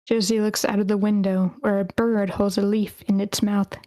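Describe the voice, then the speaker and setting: A woman's voice: medium pitch, level tone, moderate pace, dark tone, dry recording. A woman reading stage directions aloud as the narrator at a screenplay table read, in short clipped phrases.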